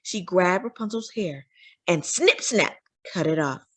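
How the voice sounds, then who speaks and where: Children's storyteller, female, animated pitch, dramatic emphasis, narrating fairy tales to young listeners. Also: unhurried. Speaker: children's read-aloud storyteller.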